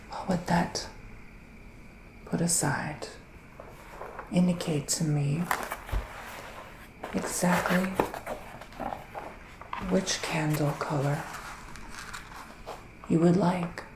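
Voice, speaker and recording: A man playing a gentle, theatrical male witch psychic in an ASMR roleplay, speaking in a breathy, sighing, partly whispered hush. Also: a little high, steady tone, extremely slow, long pauses, roomy sound, background noise. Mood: welcoming, hushed. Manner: breathy, sighing, hushed, dramatic. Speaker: ASMR performer.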